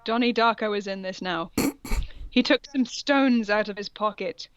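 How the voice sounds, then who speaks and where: A woman's voice: medium pitch, normal speed, clean tone, dry recording, highs cut off. A woman co-host reading fan fiction aloud in a comic dramatic style, mock-serious and amused, with casual sweary asides.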